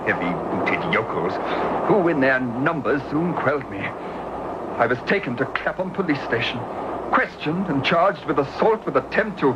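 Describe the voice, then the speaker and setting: An actor playing a cold, disdainful Victorian gentleman who recounts his crimes in a precise, haughty manner in a radio drama. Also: medium pitch, sing-song, measured pace. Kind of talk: radio drama narration and acting. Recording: dull lo-fi old radio recording with loud hiss.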